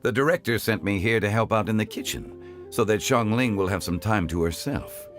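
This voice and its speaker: Video game role: refined mature man male actor, low voice with lively intonation, measured and formal, unhurried. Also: soft background music, lightly acted. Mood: composed. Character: calm, courteous mature gentleman, unhurried and refined. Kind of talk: video game character dialogue.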